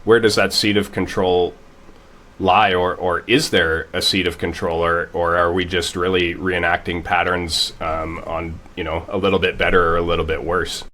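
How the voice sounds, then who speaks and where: A man hosts an interview conversation and circles around his question with fillers and restarts. His voice is very low. It also has a bright, forceful edge. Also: flat delivery, moderate pace. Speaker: host.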